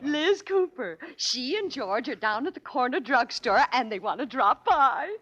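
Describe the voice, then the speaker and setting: An actress playing a breezy, scheming, bossy wife in 1940s radio comedy, with a coy, drawn-out theatrical delivery. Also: female voice, high voice, expressive. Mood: bossy, amused. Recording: muffled, hissy old radio recording.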